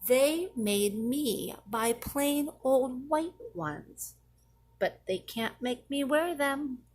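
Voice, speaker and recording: Slow, very animated woman reading a storybook. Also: high voice. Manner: theatrical, drawn-out emphasis. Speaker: children's story reader. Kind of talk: expressive read-aloud picture book narration.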